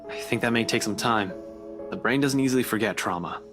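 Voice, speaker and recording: A man's voice: medium pitch, brisk, lightly acted. An actor playing a guarded young man in a visual novel, a loyal friend who talks in a dry, casual way. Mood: dry, weary. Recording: music playing behind the voice.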